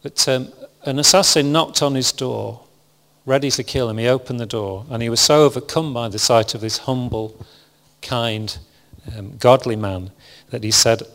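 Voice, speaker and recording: Calm preacher man in a reverberant hall. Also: medium pitch, moderate pace. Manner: calm, even, self-described monotonous delivery with frequent restarts. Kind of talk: sermon. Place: church hall with congregation.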